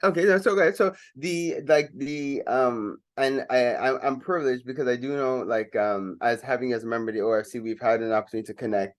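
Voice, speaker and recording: A man's voice: mid-range voice, a little fast, hardly any pauses, no echo. A man hosting a casual radio interview, talking loosely with many fillers and restarts. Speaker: radio show host.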